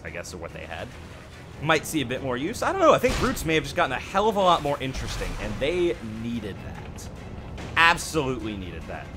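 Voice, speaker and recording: Animated, higher-pitched man doing game commentary. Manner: opinionated, emphatic, conversational. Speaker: gaming channel host. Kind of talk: casual game update commentary.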